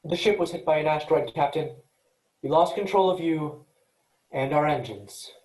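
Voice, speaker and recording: An actor playing an earnest, cautious but excitable male spaceship programmer in a staged sci-fi comedy reading. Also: medium pitch, moderate pace, lightly acted. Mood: confessing. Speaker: actor in a student play reading. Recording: roomy echo.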